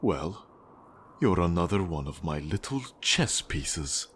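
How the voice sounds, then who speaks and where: An actor playing a cold, menacing Russian mafia boss in an ASMR roleplay, with a Russian accent and a deliberate, condescending manner. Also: male voice, sits low, sing-song, a bit bright, slightly rough, full character voice. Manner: slow, deliberate, controlling, condescending. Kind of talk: ASMR roleplay monologue addressed to the listener.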